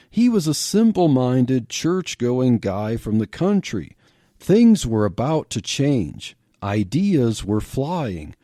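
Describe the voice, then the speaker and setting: A man hosting a podcast, reading a story aloud with expressive narration. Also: medium pitch, no echo, clean studio sound.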